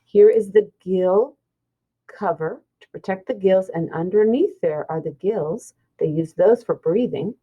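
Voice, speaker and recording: A woman's voice: mid-range voice, measured pace, husky, narrow, tinny audio. A woman teacher reading a children's science book aloud, explaining and pointing things out simply.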